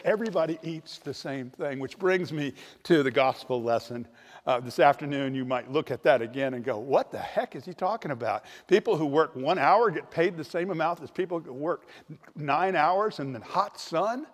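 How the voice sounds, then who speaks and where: An older man preaching a sermon with a storytelling manner, slowing down to stress key points, recorded in a large church on a handheld mic. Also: on the high side. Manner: storytelling, varied emphasis, slows for key points. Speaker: priest. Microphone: handheld microphone. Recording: long church reverb.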